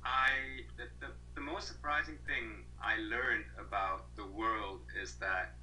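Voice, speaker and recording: A man's voice: on the low side, steady tone, a little slow, bright, forceful tone, faint music behind, poor audio. A man in his thirties from Malaysia, a guest on an interview over a video call, talking thoughtfully with many fillers and restarts.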